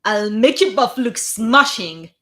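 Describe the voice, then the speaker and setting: A woman's voice: on the high side, animated, slow pace, sharp tone, voice pushed up, heavily acted. An Italian woman doing a gushing young wizard photographer.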